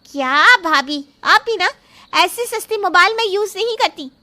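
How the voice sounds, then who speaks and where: Very high, whiny spoiled young woman acted out. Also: animated, moderate pace, bright, forceful tone, dry recording, full character voice. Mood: whiny, disappointed. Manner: whiny, petulant. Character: spoiled, pouty 21-year-old younger sister, demanding. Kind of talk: dramatised Hindi moral story acting.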